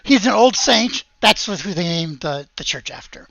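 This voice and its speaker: A game master improvising tabletop game narration in a halting, hesitant way. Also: medium pitch, lively intonation, gravelly, fairly bright tone, dry recording, lo-fi sound.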